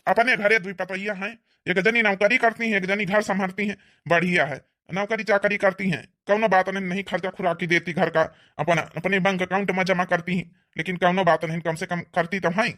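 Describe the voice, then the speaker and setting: A man's voice: high-pitched, steady tone, a little fast, hardly any pauses, sharp tone. A man voicing an old, plain-spoken, easygoing village father-in-law with an Awadhi accent in a comedy cartoon. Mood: content. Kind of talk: rural family comedy cartoon dialogue.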